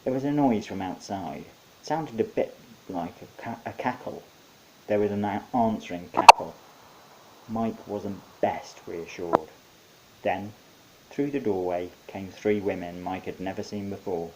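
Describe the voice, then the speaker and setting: Male story narrator, dark mellow tone, lowish pitch, wry, conversational, slight background hiss. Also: unhurried, rough recording. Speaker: author reading own short story.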